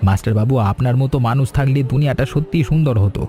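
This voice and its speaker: An actor playing a humble tea seller and worried husband in an animated cartoon story, anxious and sometimes stammering. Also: male voice, mid-range voice, rapid-fire, faint music behind, natural voice. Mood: grateful.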